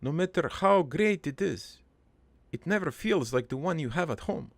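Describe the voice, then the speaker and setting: A man voicing a middle-aged, foreign-born cartoon father who rambles through his life story in a deadpan, halting, improvised monologue with a gravelly voice. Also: a little high, no echo. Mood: earnest, crude. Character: rambling, crude, wistful foreign-born immigrant father named Joey.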